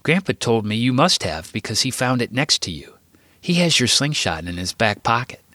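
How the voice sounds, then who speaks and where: A man's voice: medium pitch, big pitch swings, moderate pace, no echo. A man voicing an injured teenage boy who patiently reassures his little brother. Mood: reassuring. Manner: gentle, reassuring.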